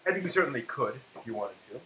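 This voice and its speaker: A man's voice: medium pitch, sing-song, quick pace. Male cooking-stream host, casual and explanatory, very varied intonation, echoey noisy room. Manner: chatty, explains step by step while working. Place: indoor home kitchen. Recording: echoey room with clear background noise.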